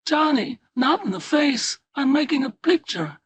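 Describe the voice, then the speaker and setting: A man reading an audiobook as a brazen, mocking young film-star wife with a husky voice. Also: very high voice, expressive, measured pace, dry recording, pitched above the performer's own voice. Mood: mocking shriek.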